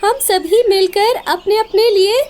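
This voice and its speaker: A woman's voice: very high-pitched, unhurried, a little mellow, voice pushed up. A woman voicing a wise, hardworking little female bird in a cartoon story, earnest and instructive.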